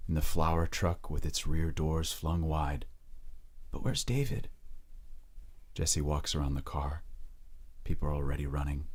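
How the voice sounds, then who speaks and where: Very deep, husky male narrator. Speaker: audio drama narrator. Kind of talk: dramatic scripted narration.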